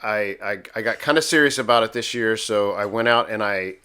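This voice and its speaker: A man's voice: on the low side, brisk, bright, forceful tone, clean tone. A man of about fifty chatting casually as a co-host, with a self-deprecating way of talking. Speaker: podcast co-host. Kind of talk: casual chat.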